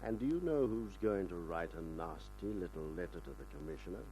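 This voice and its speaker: A man's voice: sits low, lively intonation, a little fast, warm tone, poor audio. A man playing a skeptical, threatening police inspector in an old radio crime drama, stern and sarcastic. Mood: sarcastic, menacing. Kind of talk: mid-century radio crime drama acting. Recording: old radio recording with music.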